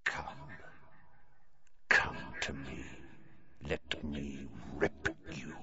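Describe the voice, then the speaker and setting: A man voicing a disembodied, ice-cold murderous creature in a slow, menacing, hissing whisper for an audiobook. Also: rumbling low, flat delivery, bright, forceful tone, highs cut off, voice pushed down, exaggerated voice. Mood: venomous menace.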